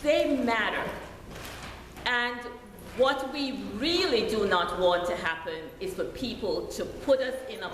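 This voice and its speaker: A middle-aged woman from Jamaica with a Jamaican accent, a deacon preaching a sermon in slow, deliberate storytelling, recorded in a church sanctuary. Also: mid-range voice, fairly bright tone. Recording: echoey church hall with faint noise.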